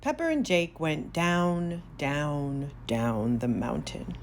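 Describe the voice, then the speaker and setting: A woman narrating a children's story aloud, expressive, with drawn-out emphasis on key words. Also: deep voice, a little hiss or hum. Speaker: storybook reader.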